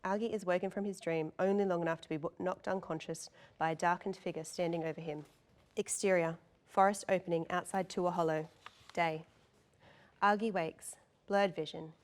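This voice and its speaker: A woman's voice: on the low side, steady tone, moderate pace. A woman narrator reading scene descriptions and stage directions from a script.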